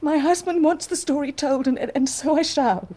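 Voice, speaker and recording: An actress playing an anguished, dignified married woman confessing a secret, speaking formally and with deliberate passion in a period radio drama. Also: high voice, warm tone, lightly acted. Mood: anguished confession. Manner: formal, deliberate, impassioned, declamatory.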